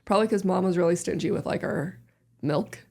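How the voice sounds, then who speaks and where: A woman in her thirties with a deep voice, chatting casually and rambling a little as a podcast guest. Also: brisk, gravelly. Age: 30s. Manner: relaxed, rambling, many fillers. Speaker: podcast guest, host's sister. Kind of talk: casual family chat.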